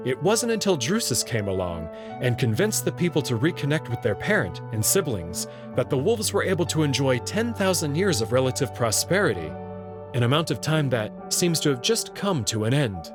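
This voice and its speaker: A man narrates a visual novel in a scripted storytelling style. His pitch is mid-range and moves in a lively way, with faint music underneath. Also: moderate pace.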